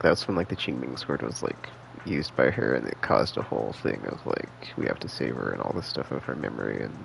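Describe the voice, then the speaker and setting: A man's voice: low voice, gravelly, a little hiss or hum, low-quality recording. A man streaming a game live, chatting casually in a rambling, enthusiastic, slangy way. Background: game music.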